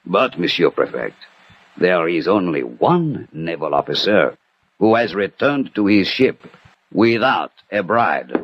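An actor playing a shrewd, commanding French detective in a theatrical, deliberate 1960s radio drama style. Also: male voice, low-pitched, very animated, slight background noise. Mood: assured, deductive. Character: shrewd, commanding French gentleman detective in 19th-century Paris. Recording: old muffled radio broadcast with loud music.

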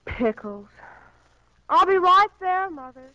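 An actress playing a restless, dreamy young American farm daughter in a 1940s radio play. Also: female voice, very high-pitched, very animated, slow, pushed and bright, exaggerated voice. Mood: dutiful. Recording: muffled 1940s radio broadcast.

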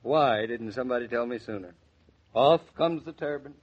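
A man's voice: medium pitch, normal speed, lightly acted. Clowning young husband played by a man, dull old recording. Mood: mock-grand, sleepy. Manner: theatrical, singsong joking. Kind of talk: 1940s radio drama acting. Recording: dull 1940s radio transcription.

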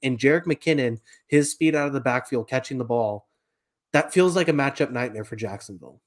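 A man's voice: medium pitch, brisk. Man talking football analysis. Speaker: sports podcast co-host. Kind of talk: conversational American football analysis.

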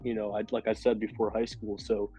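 A man with a raspy, hoarse voice from a cold, rambling casually as a podcast guest with many fillers. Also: on the low side, flat delivery, fairly quick, warm tone, soft background music, low-quality recording. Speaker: podcast guest, food illustrator.

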